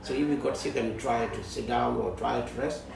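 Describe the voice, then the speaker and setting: A man in his forties from South Sudan, a guest in an interview, speaking slowly and haltingly with many pauses. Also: mid-range voice, steady tone, creaky. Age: 40s. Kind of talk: interview conversation. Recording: distant mic in echoey room, noisy.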